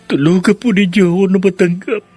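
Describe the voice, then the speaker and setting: A man's voice: high voice, fairly even intonation, a little fast, a little mellow, breathy edge, soft background music. A young man playing a sorrowful, confused son, pleading with heavy feeling in a Filipino radio drama.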